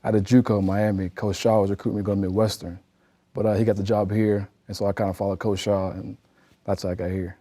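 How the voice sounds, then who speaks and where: A man's voice: fairly low pitch, level tone, fast, mellow. A young man from Florida, a college basketball player answering interview questions in a polite, composed way. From Florida, USA. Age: young adult.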